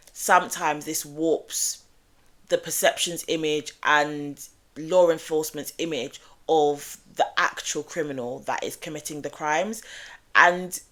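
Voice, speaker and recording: A woman hosting a true crime show, talking in a measured, analytical way with small restarts, her voice still slightly groggy after being ill. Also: low-pitched, a bit bright. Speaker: true crime channel host. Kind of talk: true crime explainer monologue.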